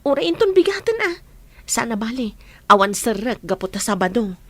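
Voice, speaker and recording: A woman's voice: fairly high pitch, very animated, brisk, no echo. A woman acting as a sociable, chatty young friend in a radio drama, with an eager conversational manner.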